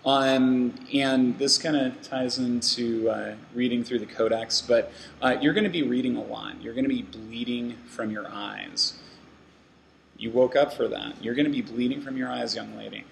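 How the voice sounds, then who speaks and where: A man's voice: mid-range voice, steady tone, moderate pace, vocal fry, a little hiss or hum, rough recording. A man giving a casual talk to a meetup audience, rambling with lots of fillers and restarts. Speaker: meetup speaker. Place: meeting room with an audience.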